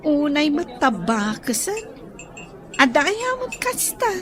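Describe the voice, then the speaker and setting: An actress with a Filipino accent playing a modest, earnest young daughter in a radio drama. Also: female voice, high-pitched, very animated, slow pace, a crowd in the background, exaggerated voice. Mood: bashful.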